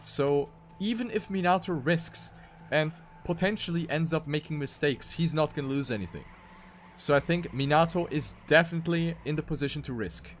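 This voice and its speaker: A man's voice: on the high side, normal speed, faint music behind. A man giving live commentary on a video game race.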